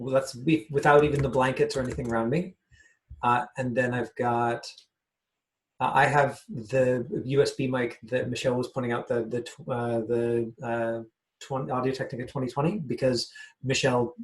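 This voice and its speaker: A man hosting an online class, talking casually through a live demonstration in a hesitant, halting way, repeating himself. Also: medium pitch, little pitch movement, rough recording. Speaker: class host and acting coach.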